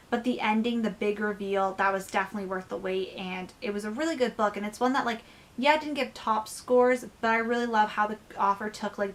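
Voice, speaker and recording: Young woman book vlogger, casual and chatty, voice going hoarse, faint background hiss. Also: medium pitch, a bit bright. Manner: chatty, lots of "like" and "um". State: losing her voice. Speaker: book reviewer. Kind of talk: casual book review vlog.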